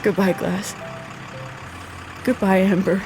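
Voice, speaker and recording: Tender sad young woman, soft-spoken, hesitant, slow delivery, slight gravel, audio drama. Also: mid-range voice, level tone, background noise. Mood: wistful. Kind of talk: naturalistic audio drama acting.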